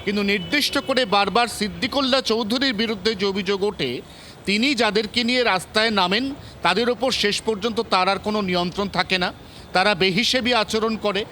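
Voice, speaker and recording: A male field reporter with a West Bengal Bengali accent speaks in a high, forceful voice. He explains point by point with emphasis, with faint outdoor traffic noise behind him. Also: fast. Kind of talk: live explanatory news report.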